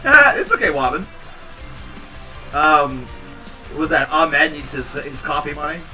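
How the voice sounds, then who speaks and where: Man in his 30s, livestream host, bright edgy tone, slightly distant mic, faint music behind, rambling and tired. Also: big pitch swings, unhurried. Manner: rambling, low-key, tired. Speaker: livestream host reading chat. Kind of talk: casual chat with viewers. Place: small home room indoors. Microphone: headset.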